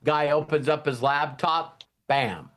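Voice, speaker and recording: A man with a somewhat high-pitched voice talks casually as a motivational host. He rambles along in a folksy way, leaning on anecdotes and plain language. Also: slow, a bit bright. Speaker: host of a motivational team talk.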